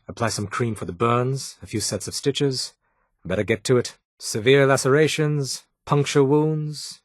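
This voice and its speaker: A man's voice: mid-range voice, no echo. A man playing a brusque, haughty underground doctor in a video game, with a British accent and a dry, sardonic, formal manner. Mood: clinical. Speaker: medic in a secret resistance group. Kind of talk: video game character voice acting.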